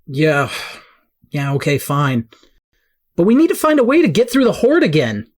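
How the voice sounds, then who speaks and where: A man's voice: a little high, huge pitch swings, moderate pace, darkish tone. Reluctant, down-to-earth teenage boy, played by a man. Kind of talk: audio drama dialogue.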